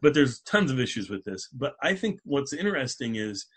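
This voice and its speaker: A man chats as the host of a podcast. His voice sits in a middle register with a rough, husky edge. He keeps things loose, informal and jokey. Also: medium pitch, sing-song, fairly quick, a bit bright. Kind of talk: casual podcast chat.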